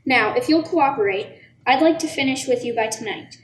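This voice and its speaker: Woman as brisk office worker, matter-of-fact, high voice, radio play, distant mic. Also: natural voice. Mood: businesslike. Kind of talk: amateur radio play acting. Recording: echoey room, distant mic, background noise.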